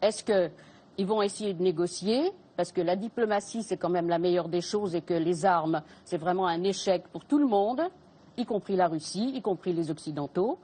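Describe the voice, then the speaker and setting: Husky woman pressing her point on a panel. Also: on the low side, moderate pace, warm tone. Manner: insistent, protests at interruptions. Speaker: debate guest. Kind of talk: televised debate.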